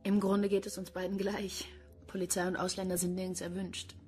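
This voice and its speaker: An actress playing an insistent, sardonic police detective in a crime radio drama, questioning in a dry, pointed way. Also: female voice, mid-range voice, little pitch movement, a little fast, over music, natural voice. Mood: dry, ironic. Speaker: actor in a crime radio play. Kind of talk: German radio drama acting.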